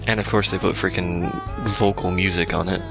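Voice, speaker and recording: A man's voice: sits low, steady tone, normal speed, creaky, music in the background. A man giving casual, dry and sarcastic commentary on a video game he is playing. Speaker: let's play commentator.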